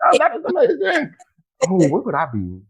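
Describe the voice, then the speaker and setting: A man hosting a casual podcast, talking in an animated way, exclaiming loudly and speaking straight to his listeners. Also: very high voice, moderate pace, slightly rough. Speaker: podcast host.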